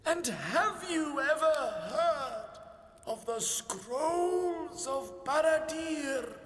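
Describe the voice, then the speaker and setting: An actor playing a very old, long-winded bishop with a slow, quavering, rambling delivery. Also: male voice, extremely high pitch, expressive, fairly bright tone, exaggerated voice. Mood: probing. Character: ancient doddering male bishop, long-winded. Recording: echoey reverberant room.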